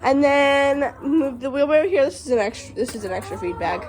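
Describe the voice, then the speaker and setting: A woman, stuffy from allergies, chatting casually and excitedly in a farm vlog recorded outdoors by a shed. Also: high-pitched, brisk. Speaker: farm vlogger.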